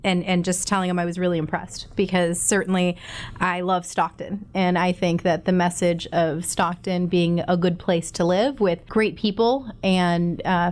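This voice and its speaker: A woman's voice: on the low side, level tone, normal speed, darkish tone. A middle-aged woman from Costa Rica, a guest on a podcast, telling her story in an articulate, easygoing way and laughing easily. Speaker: guest, college radio and television instructor. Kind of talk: interview storytelling.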